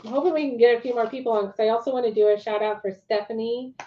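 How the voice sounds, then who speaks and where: A woman's voice: fairly high pitch, steady tone, very fast, a little hiss or hum. A woman chatting casually as a livestream co-host, her voice wearing out from allergies. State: losing voice from allergies. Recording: dull lo-fi livestream audio.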